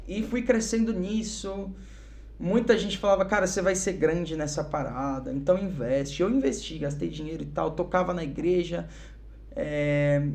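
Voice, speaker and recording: A young man from Curitiba with a São Paulo accent, a podcast guest telling his faith story in a relaxed, chatty way. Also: high-pitched. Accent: São Paulo Portuguese. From Curitiba, Brazil. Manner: relaxed, chatty, fillers like "cara" and "né". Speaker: podcast guest, worship musician. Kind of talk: casual testimony interview.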